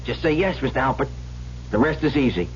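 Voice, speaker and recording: Insistent young male hustler, played by an actor, lively pitch, clipped radio-drama delivery, dull broadcast sound. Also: a little fast, natural voice. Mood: insistent, hustling. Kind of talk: 1970s radio drama acting. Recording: lo-fi old radio broadcast, faint noise.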